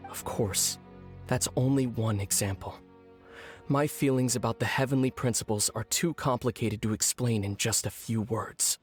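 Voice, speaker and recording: A male actor plays a weary young twin brother in a fantasy game. He speaks softly and gravely at a middle pitch, with measured phrasing. Light music plays behind him. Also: natural voice. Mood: solemn, reflective. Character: solemn young man, weary long-lost twin brother. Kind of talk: scripted game voice acting. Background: background music.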